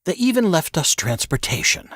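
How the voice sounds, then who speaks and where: A man's voice: fairly high pitch, very animated, moderate pace, a bit bright, slightly rough, no room sound, studio quality, voice pushed up, heavily acted. A man voicing an irritable, stuffy, intense intelligence agent in an audiobook, with a sneering, emphatic manner. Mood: intense, covetous.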